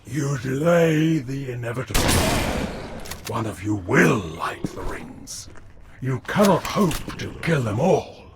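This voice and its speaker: An actor playing a zealous old alien prophet in a video game, with a pompous, oratorical delivery. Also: male voice, medium pitch, expressive, takes it slowly. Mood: menacing. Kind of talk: dramatic video game cutscene acting. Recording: loud ambient noise behind voice.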